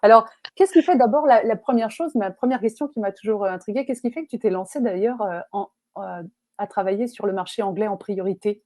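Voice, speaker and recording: A woman's voice: mid-range voice, fairly quick, dark tone, dry recording. A woman hosting an online interview, welcoming her guest with enthusiasm and asking careful questions. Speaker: interview host and life coach. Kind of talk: video interview.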